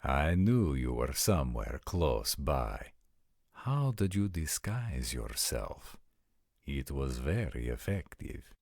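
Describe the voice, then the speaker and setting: A man playing a haughty, commanding vampire in a roleplay audio drama, speaking in a formal, measured and imperious way. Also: a bass voice, sing-song, darkish tone, dry recording, clean studio sound, exaggerated voice. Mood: cool, probing.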